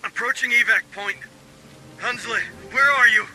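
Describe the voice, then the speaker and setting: Forceful, high-pitched marine squad leader. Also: male voice, moderate pace, heavily acted, low-quality recording. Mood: urgent. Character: gruff male marine squad leader in the field. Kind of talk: scripted video game combat dialogue.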